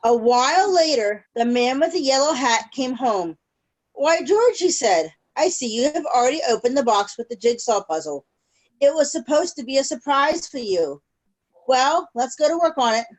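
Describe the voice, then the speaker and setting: A woman with a high voice reads a storybook aloud to small children. She speaks as a storyteller, moving between the text and simple questions to her young listeners, over a video call. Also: animated, poor audio. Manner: pauses to check in with young listeners.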